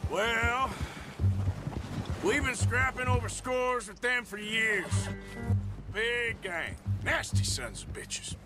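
A man's voice: extremely high pitch, takes it slowly, pauses often. A man playing a rugged, sardonic American cowboy outlaw in a video game, with a folksy, blunt drawl. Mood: grim, matter-of-fact. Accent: American Western. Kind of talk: video game cutscene acting. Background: loud music.

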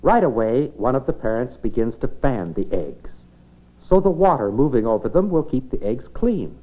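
A man's voice: mid-range voice, sing-song, moderate pace. An American man reads scripted 1950s educational film narration, explaining things simply like a storyteller for children. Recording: dull, band-limited 1950s film soundtrack.

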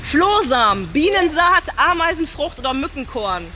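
A young woman chatting casually and reading quiz questions in an excitable, playful way. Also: sits high, moderate pace, a little hiss or hum. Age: young adult. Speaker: host of a challenge video. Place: large indoor swimming pool hall. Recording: music behind the voice. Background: music.

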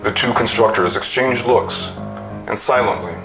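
A middle-aged man reading a story aloud in a theatrical, deliberate manner. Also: lowish voice, loud music behind the voice, poor audio. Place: small room with bookshelves.

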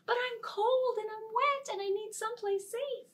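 Woman doing a squeaky, pleading little storybook mouse. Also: normal speed, fairly bright tone, distant mic. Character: tiny cold, wet, pleading little mouse.

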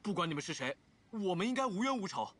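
A man's voice: high-pitched, very animated, quick pace, a bit bright, slight background noise. A man voicing a timid, terrified young undertaker in an anime game, stammering and panicky. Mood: frightened.

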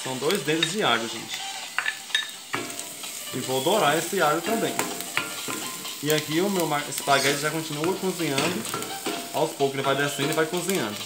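Young brazilian guy doing a cooking tutorial, kinda high voice, talks you through every step, echoey kitchen. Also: normal speed, long pauses, heavy background noise. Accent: Brazilian Portuguese. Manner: chatty, explains each step and keeps addressing viewers as folks. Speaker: cooking show host. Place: home kitchen, indoors. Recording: echoey kitchen with background music.

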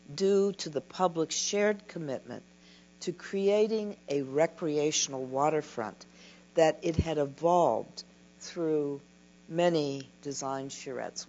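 An older woman giving a keynote lecture from a podium, reading a prepared talk in a slow, measured way. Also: sits low, gravelly, darkish tone. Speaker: keynote speaker. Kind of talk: scripted conference lecture. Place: dim lecture hall at a podium. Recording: dull lo-fi recording with mains hum.

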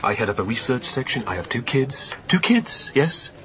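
A man's voice: mid-range voice, big pitch swings, moderate pace, fairly bright tone. An actor playing a bewildered, anxious father in an audio drama, insistent and full of agitated questions. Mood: flustered. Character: bewildered, anxious divorced father, a research scientist.

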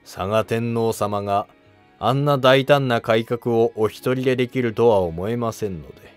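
A man voicing a wily court minister, formal, measured and evasive, in a historical drama animation. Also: mid-range voice, smooth, faint music behind, natural voice. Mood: guarded, wily.

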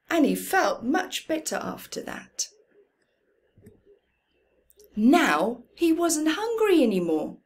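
A middle-aged woman reading a picture book to young children in an expressive, deliberate way, with dramatic pauses and hushed emphasis. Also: medium pitch. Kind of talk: slow children's picture-book read-aloud. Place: indoors in a room at home.

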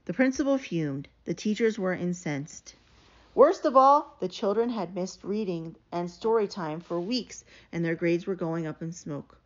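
A woman giving an animated, expressive read-aloud of a children's picture book. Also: on the low side, unhurried. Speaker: storybook reader. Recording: dull, lo-fi sound.

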